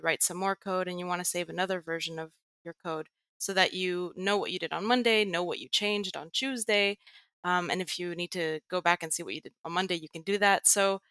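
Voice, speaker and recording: A woman's voice: on the low side, bright, forceful tone, smooth, no echo. A young adult woman with an American accent, explaining things patiently as a podcast guest, with frequent fillers. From Greece. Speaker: guest, tech writer and educator. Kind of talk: podcast interview.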